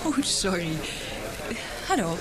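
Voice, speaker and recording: An actress playing a haughty, sharp-tongued young woman in a radio play, speaking with cutting, formal politeness. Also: fairly low pitch, sing-song, slow pace, low-quality recording. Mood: friendly. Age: young adult. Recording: vintage radio play, crowd chatter behind.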